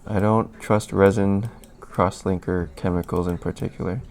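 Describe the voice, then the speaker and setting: A man's voice: low-pitched, fairly even intonation, moderate pace, a little mellow. A man hosting a live stream, chatting casually and rambling as he answers questions from chat, a little sniffly. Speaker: livestream host.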